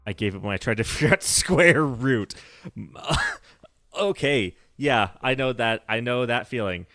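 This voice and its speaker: A man's voice: medium pitch, lively intonation, unhurried, fairly bright tone, dry recording, studio quality. A man chatting casually on a game livestream, rambling and correcting himself as he goes, with a nerdy, laughing manner. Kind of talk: casual livestream chat while playing.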